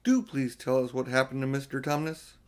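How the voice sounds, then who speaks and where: A man's voice: medium pitch, lively intonation, a little fast, voice pushed up, lightly acted. A man voicing a tender-hearted young girl in a storybook, with an earnest, pleading manner. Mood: anxious. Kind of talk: storybook character voice.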